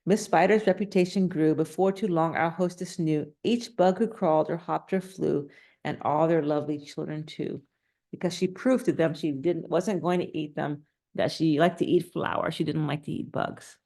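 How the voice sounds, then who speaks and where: A woman's voice: sits low, steady tone, moderate pace, mellow. A woman reading a children's storybook aloud, adding casual, simple explanations and questions for young listeners. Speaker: storybook reader.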